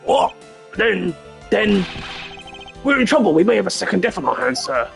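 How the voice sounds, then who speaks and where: A man voicing an excitable, loyal detective in a folksy, exclamatory way for a video game, with music behind. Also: on the high side, a little fast, gravelly, pitched above the performer's own voice. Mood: alarmed. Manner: exclamatory, folksy, ends lines with "sir" or "pal". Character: excitable, blustering detective, loyal grown man saying "pal".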